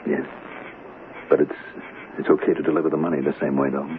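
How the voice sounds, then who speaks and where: An actor playing a smooth, vain politician who is privately snobbish and anxious, in a radio drama. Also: male voice, very deep voice, level tone, brisk. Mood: ashamed, uneasy. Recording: narrowband, noisy old radio recording.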